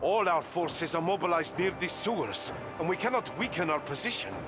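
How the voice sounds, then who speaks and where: A man's voice: on the high side, animated, moderate pace, over music, close to an everyday voice. A man playing an urgent, dutiful soldier delivering reports in a video game. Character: urgent male soldier messenger reporting to his lady.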